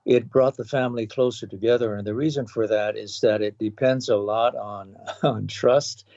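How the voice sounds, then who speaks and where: A man in his seventies from Hawaii, a podcast guest recalling his life in a measured way, pausing often with um and uh. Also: medium pitch, fairly even intonation, darkish tone, dry recording. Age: 70s. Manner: measured, with frequent um and uh pauses. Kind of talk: thoughtful reminiscing interview. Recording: dull, lo-fi dry recording.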